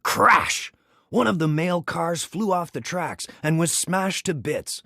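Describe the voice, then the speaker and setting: Animated man reading a children's storybook. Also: fairly high pitch, slow, no echo, studio quality. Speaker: storybook reader. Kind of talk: expressive children's read-aloud narration.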